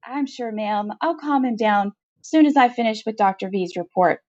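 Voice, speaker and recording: A woman's voice: fairly high pitch, expressive, moderate pace, darkish tone, highs cut off, close to an everyday voice. A woman playing a desperate, wily female convict in a story reading, terse and persuasive. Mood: placating.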